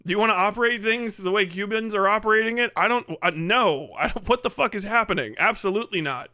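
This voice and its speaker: A man's voice: high voice, fast talker, bright, forceful tone. A man streamer ranting casually and sarcastically, swearing and laughing often. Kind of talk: casual live stream rant.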